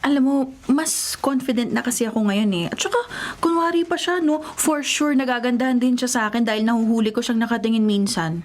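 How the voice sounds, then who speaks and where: A woman's voice: a little high, fairly quick, lightly acted. An actress with a Filipino accent playing a proud, defensive young woman in a radio drama, bantering and in denial about her feelings. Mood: defensive, vain. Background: music.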